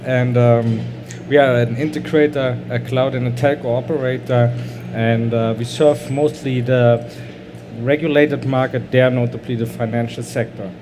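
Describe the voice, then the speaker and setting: A man who works as a chief architect introduces himself on a conference panel in a slow, halting way with fillers. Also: mid-range voice, fairly even intonation, over music.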